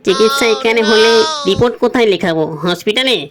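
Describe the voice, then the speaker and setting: A man's voice: high voice, quick pace, background noise, lightly acted. A man voicing the death god's naive, literal-minded scribe in a comic cartoon dub, earnestly pestering with questions. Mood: puzzled, insistent. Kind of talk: comic Bengali cartoon dubbing.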